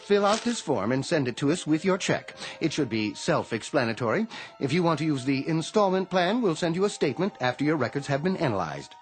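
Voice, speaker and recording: An actor playing a businesslike, officious tax collector in a radio drama, with a dry manner. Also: male voice, on the high side, sing-song, fairly quick, faint music behind. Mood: blandly officious. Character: brisk, officious tax man, blandly unhelpful.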